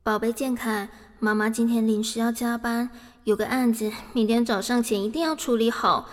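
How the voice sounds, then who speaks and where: A woman's voice: on the high side, fairly even intonation, moderate pace, in a large hall, slight background noise, lightly acted. A woman playing a busy working mother in an audio drama, sweetly apologetic to her child. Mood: apologetic, coaxing. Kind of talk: Mandarin audio drama acting.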